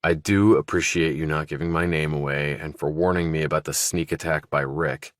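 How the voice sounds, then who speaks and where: A man's voice: very deep voice, fairly even intonation, fairly quick, dry recording, studio quality. A man voicing a tough, guarded young ex-army fighter with a terse, blunt manner in an audiobook. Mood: controlled, calculating.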